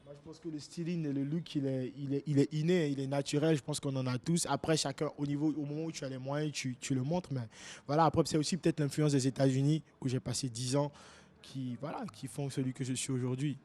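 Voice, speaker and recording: A man's voice: medium pitch, fairly even intonation, fairly quick, a little mellow. A young man from Guinea, a music artist, answering interview questions casually and rephrasing as he goes.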